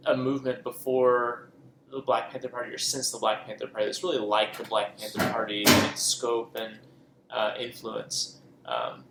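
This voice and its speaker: Male podcast co-host, gravelly, casual and rambling, echoey room. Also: mid-range voice, a bit bright. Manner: rambling, many ums and self-corrections. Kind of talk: casual book chat. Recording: echoey room with faint noise.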